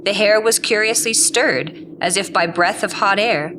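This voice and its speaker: Mid-pitched woman narrating a story. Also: a little slow, background noise.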